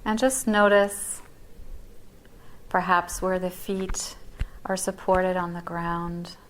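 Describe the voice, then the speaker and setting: A woman yoga teacher guiding a body scan meditation in a yoga class, speaking softly and gently, her words often trailing into near-whispers. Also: lowish voice, steady tone, takes it slowly, low-quality recording.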